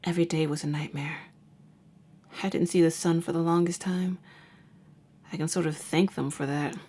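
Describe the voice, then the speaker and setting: A woman playing a jaded, wry vampire hunter and nurse who is secretly caring, in an intimate ASMR roleplay that drifts into breathy murmurs. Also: sits low, steady tone, moderate pace, mellow. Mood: bitter, haunted.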